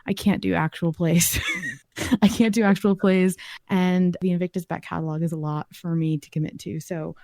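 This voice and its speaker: A woman hosts a fan podcast with a relaxed, mellow voice that sits a little low. She sounds encouraging and appreciative. The recording is close and dry. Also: dry recording. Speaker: podcast host. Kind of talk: casual fan podcast chat and scripted outro.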